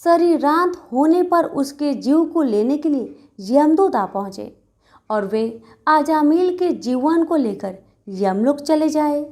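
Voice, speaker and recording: A woman's voice: sits high, lively intonation, slow, a little mellow. A woman narrating a scripted devotional story as a storyteller.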